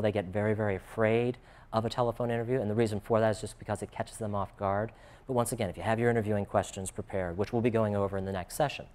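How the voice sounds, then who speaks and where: An American man with a fairly low voice gives informal instruction as a career coach. He explains things conversationally, as if talking one to one, and often drops "you know" into his sentences. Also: a little mellow. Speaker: job interview coach.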